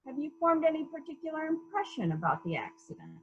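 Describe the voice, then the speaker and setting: A woman playing a calm, persistent police investigator in a murder mystery reading, formal, measured and politely probing. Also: sits high, highs cut off.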